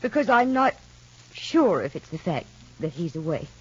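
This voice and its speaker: A fretful young mother, husky. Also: fairly low pitch, very animated, normal speed. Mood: worried. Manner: hesitant, worried, soft-spoken. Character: anxious young mother fearing for her son. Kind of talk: 1940s radio soap acting. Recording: dull lo-fi old radio recording.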